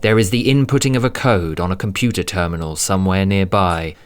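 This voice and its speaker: A man narrating a dramatic scripted audiobook in a suspenseful, deliberate manner, sometimes hushed. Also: deep voice, smooth.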